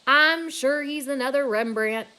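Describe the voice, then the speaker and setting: Woman reading as a slow, weary, exasperated dad. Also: sits high, bright, forceful tone, voice pushed up. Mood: tired, dismissive. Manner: exasperated, sighing. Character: weary, dismissive father who works as a cleaner.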